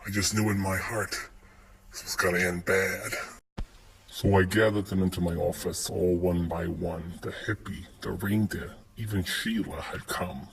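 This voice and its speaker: Man playing a low-voiced noir detective. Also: fairly even intonation, measured pace, creaky. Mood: wry. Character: hardboiled noir detective narrating his case in rhyme. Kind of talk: rhyming film-noir parody narration. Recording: noisy homemade phone clips.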